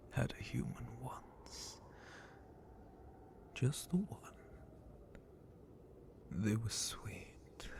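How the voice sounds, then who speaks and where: A very slow, gravelly male dragon captain for an ASMR roleplay. He half-whispers with haughty contempt and sadistic menace, drawing out each phrase theatrically. The breath is close and rough. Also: medium pitch, warm tone. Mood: sadistic, amused.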